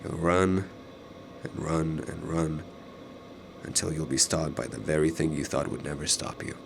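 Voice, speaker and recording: An actor in a radio drama playing a mysterious older alternate self, fatalistic and persuasive, speaking in a deliberate, ominous way. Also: male voice, rumbling low, steady tone, creaky, noisy background, heavily acted. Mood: grave, foreboding.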